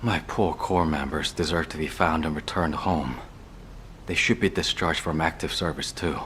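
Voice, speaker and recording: An actor playing an earnest, caring and dutiful military medical corps commander in a video game. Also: male voice, a bass voice, normal speed, fairly bright tone, slight background noise. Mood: businesslike, concerned. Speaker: field officer. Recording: roomy, distant, music underneath.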